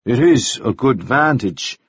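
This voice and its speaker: A man voicing a reserved quiet man, slow and spare words, husky tone, muffled recording. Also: mid-range voice, lively intonation, no room sound. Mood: calm. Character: reserved, taciturn Maori man, quiet and measured. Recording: dull, slightly muffled dry recording.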